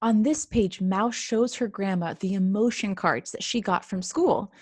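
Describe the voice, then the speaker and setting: A woman's voice: medium pitch, a little slow, darkish tone, dry recording. Woman explaining a children's read-aloud. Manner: clear, simple explanations for young children. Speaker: presenter, author reading own book.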